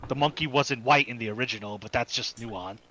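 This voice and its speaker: A man's voice: medium pitch, brisk, a crowd in the background. A man co-hosting a podcast in casual, informal banter with some swearing. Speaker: podcast co-host. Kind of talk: casual chat, film ranking banter.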